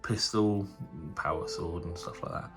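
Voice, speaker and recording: Low-voiced man, nasal from a cold, rambling casual hobby-channel host, light music bed. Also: steady tone, unhurried, a bit bright. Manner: chatty, rambling, frequent fillers and restarts. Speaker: tabletop wargaming channel host. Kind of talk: casual unboxing commentary.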